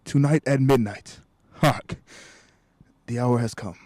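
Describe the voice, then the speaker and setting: Young man acting a solemn, mellow-voiced foreboding spirit. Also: mid-range voice, slow pace, no room sound. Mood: solemn, urgent. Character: solemn male ghost of Christmas Present, foreboding. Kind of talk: radio play acting.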